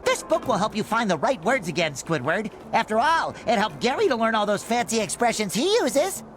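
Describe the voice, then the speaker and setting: A man voicing a cheerful, naive young sea sponge hero in a cartoon game, with a squeaky nasal voice and an earnest, excitable manner. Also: fairly quick, slight background noise. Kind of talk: animated cartoon game voice acting.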